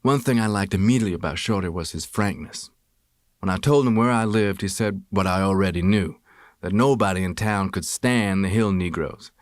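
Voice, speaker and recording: An American man reading a first-person memoir as an audiobook narrator, with expressive, measured storytelling. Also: low voice, no room sound, studio quality.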